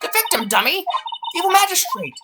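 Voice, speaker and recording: A man voicing a nosy, bossy old female studio security guard, ranting fast and scolding, in a one-person fan dub. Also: big pitch swings, loud music behind the voice. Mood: gossipy. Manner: shrill fast-talking scolding rant.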